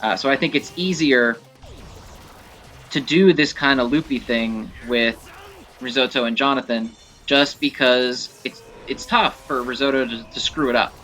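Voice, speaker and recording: A man giving casual gameplay commentary, thinking aloud in a halting, self-correcting way as he explains things. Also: medium pitch, pushed and bright, soft background music. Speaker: gaming video host. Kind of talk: casual rambling game commentary.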